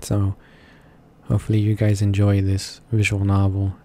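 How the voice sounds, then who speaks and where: A man's voice: fairly low pitch, monotone, normal speed. A man reading along to a visual novel in a casual amateur way, stumbling over words and correcting himself. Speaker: gaming channel host reading along. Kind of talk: halting read-aloud of visual novel narration, casual asides. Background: game music playing softly.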